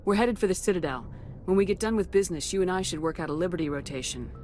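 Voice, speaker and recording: A woman's voice: fairly low pitch, fast, a little mellow, faint music behind, lightly acted. A woman playing a stern, composed military starship commander in a video game, speaking with firm, clipped authority. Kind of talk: video game dialogue acting.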